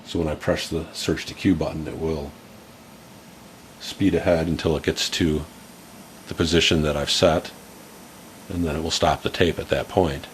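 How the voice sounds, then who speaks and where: A middle-aged man casually demonstrating a tape deck at home, explaining in a relaxed way with plenty of fillers. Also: very deep voice, normal speed, pauses often, a little hiss or hum.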